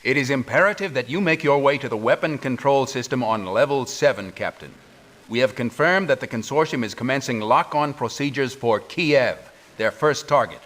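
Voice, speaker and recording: A grave middle-aged commander performed by a male actor for a sci-fi game cutscene. He speaks at a medium pitch in formal military phrases. Every order carries urgency. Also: moderate pace, fairly bright tone, lightly acted. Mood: grave, urgent. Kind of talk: scripted game cutscene acting.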